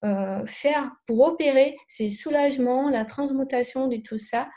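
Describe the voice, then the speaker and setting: A Brazilian woman speaking French with a Brazilian accent, a therapist guest in a podcast interview, talking hesitantly with many pauses and restarts. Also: sits high, dark tone. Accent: Brazilian Portuguese.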